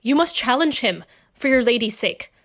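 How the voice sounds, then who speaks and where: Actress for dignified married lady in period audio drama; somewhat high pitch, composed, formal, firm. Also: lively intonation, fairly bright tone. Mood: firm, cool. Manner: measured, formal period diction. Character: dignified wronged wife, composed and quietly resolute. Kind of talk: stage-play acting in an audio drama.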